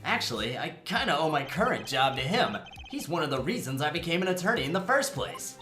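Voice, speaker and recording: A man's voice: a little high, very animated, brisk, sharp tone, echoey room, faint music behind. A man playing a nervous young rookie lawyer in a comedic game fan dub, joking and rambling when flustered. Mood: wisecracking, earnest.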